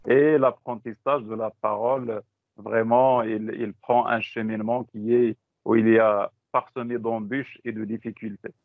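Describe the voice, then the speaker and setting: Moroccan man, Tachelhit native, teacher trainer on a dry, tinny video call; halting, repeats himself. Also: lowish voice, little pitch movement, no room sound. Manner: halting, repeats words, switches into Moroccan Arabic. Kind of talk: online teaching talk. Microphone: computer mic on a video call. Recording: dry, phone-like call audio.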